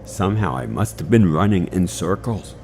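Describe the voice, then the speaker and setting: A man's voice: low voice, expressive, normal speed, darkish tone, slight background noise. A man reading a scripted first-person scary story as its narrator. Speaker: narrator of an animated horror story.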